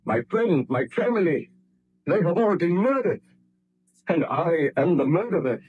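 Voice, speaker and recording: An actor playing a tormented, guilt-ridden aristocratic scientist in an old radio horror drama, with grave, formal, theatrical delivery. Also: male voice, high voice, very animated, measured pace, breathy edge, no room sound, narrow, tinny audio, exaggerated voice. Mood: agitated, anguished.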